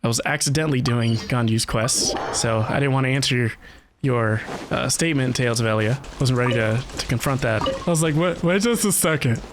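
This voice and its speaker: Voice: mid-range voice, a little fast, noisy background. A game streamer, chatting informally and casually during a livestream. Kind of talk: casual livestream chat while gaming. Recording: game music behind the voice.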